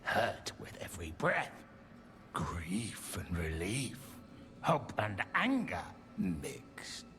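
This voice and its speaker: An actor playing an anguished, vengeful trapped demon in a video game, speaking in halting fragments. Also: male voice, lowish voice, big pitch swings, sharp tone, faint music behind, heavily acted.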